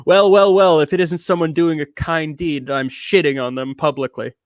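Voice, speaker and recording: A man's voice: fairly high pitch, fairly even intonation, normal speed, dry recording, clean studio sound, natural voice. A man voicing a bitter, neurotic ranter in a theatrical dramatic reading, with a put-on accent that shifts around. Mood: cranky storytelling. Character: edgy, bitter, ranting neurotic man telling a travelogue. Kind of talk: dramatic reading of a rambling rant.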